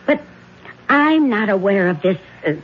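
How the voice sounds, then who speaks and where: A scared, stammering wife. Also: female voice, mid-range voice, expressive, breathy edge. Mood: frightened, pleading. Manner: anxious, halting, stammering. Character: frightened, shocked middle-aged wife of a judge. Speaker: radio drama actor. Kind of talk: 1970s radio drama acting. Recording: dull old radio broadcast.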